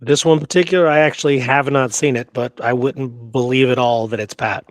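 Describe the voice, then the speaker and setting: A man's voice: mid-range voice, steady tone, a little fast, no echo. A man co-hosting a history discussion show, chatting casually and using informal slang. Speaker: podcast co-host.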